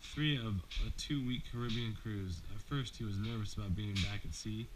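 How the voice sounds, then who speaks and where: A man's voice: on the low side, normal speed. A man reading a bedtime storybook aloud to his child, as a father does. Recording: noisy amateur home recording.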